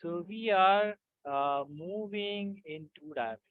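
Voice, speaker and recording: A man teaching physics in an online class, halting with many fillers and often checking that students follow. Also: high voice, no echo. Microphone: video call. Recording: tinny dry call audio.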